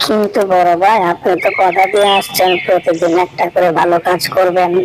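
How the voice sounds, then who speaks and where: A man's voice: high voice, little pitch movement, a little fast, over music, lightly acted, poor audio. A man playing a naive, gullible young rabbit sidekick in a cartoon, questioning and deferential. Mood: puzzled, complaining. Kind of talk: cartoon dialogue.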